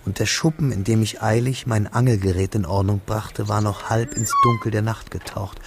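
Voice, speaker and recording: An actor playing a wistful, pensive young man who narrates his memories in a measured, reflective way for a literary radio drama. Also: fairly low pitch, fairly even intonation, noisy background, lightly acted. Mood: quietly tense.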